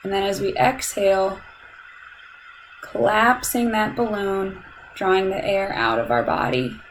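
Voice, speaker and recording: Woman, meditation guide, calm and soothing, unhurried slow delivery, measured phrases, long pauses, faint background noise. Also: medium pitch, poor audio. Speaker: meditation guide, lab instructor.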